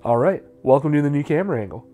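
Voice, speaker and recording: Male, 30s, how-to host; mid-pitched with animated intonation, faint music underneath. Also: fast. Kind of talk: scripted how-to narration.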